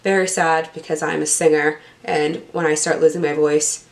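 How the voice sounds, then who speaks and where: A young woman with a cold-roughened, hoarse voice, chatting casually as she unboxes beauty products and stumbles over product names. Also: deep voice, flat delivery, normal speed. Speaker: beauty vlogger.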